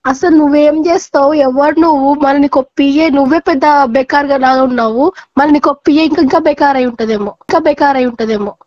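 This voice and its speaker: A woman's voice: high-pitched, brisk, dry recording. A woman talking on the phone in a blunt, exasperated, challenging way. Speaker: prank call recipient. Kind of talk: casual phone argument. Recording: dull, dry lo-fi phone recording.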